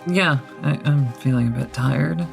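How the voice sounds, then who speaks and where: Woman playing a low, creaky, weary young vampire. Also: measured pace, over music, full character voice. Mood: weary, hesitant. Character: weary, compliant young newly turned female vampire. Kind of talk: audio drama acting.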